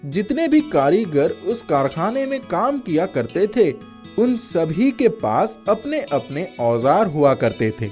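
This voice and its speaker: A male storyteller reads a scripted moral tale at a very slow, measured pace. His intonation rises and falls widely, with light music underneath. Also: a little high, darkish tone.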